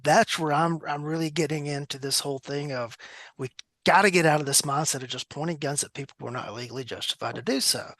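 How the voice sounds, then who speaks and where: A man's voice: a little high, fast talker, a bit bright, no echo. A man hosting a panel discussion as a trainer, explaining his points emphatically in conversational speech. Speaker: panel host, firearms trainer.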